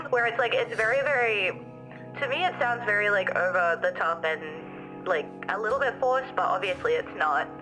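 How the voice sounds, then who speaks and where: A woman's voice: fairly high pitch, sing-song, unhurried, music in the background, rough recording. A young woman with a mild city Australian accent, chatting casually and rambling with lots of fillers as she answers her livestream viewers. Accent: softened city Australian. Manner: rambling, giggly, many fillers. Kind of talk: casual chat reading viewer comments.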